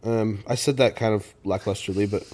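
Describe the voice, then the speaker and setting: A man's voice: fairly low pitch, steady tone, a little fast, a little mellow. A young man co-hosting a casual podcast, dry and joking, a little sick with the sniffles. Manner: dry, joking, matter-of-fact. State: slightly sick, sniffly and low-voiced. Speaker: podcast co-host. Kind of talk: casual chat.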